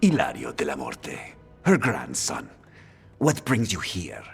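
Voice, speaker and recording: Very deep, gravelly assassin heir. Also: male voice, huge pitch swings, unhurried, soft background music, heavily acted. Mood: guarded. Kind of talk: video game voice acting.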